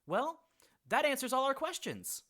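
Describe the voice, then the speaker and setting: A man's voice: very high-pitched, very animated, unhurried, sharp tone, exaggerated voice. A man playing a polite, curious young healer in a fantasy audiobook drama, speaking in a slightly formal way. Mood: sarcastic. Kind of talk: audiobook drama character acting.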